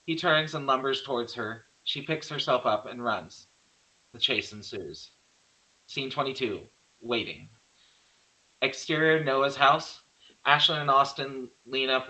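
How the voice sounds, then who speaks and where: Man, mid-pitched bright forceful voice, narrator of a screenplay read, halting delivery. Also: low-quality recording. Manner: halting, stumbles and corrects himself. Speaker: narrator reading stage directions. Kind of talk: amateur screenplay table read.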